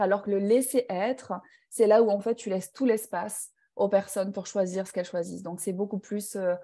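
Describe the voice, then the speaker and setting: Woman, growth-class facilitator; mellow voice, thoughtful and hesitant delivery. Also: mid-range voice. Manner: reflective, hesitant with many fillers. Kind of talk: live online talk to participants.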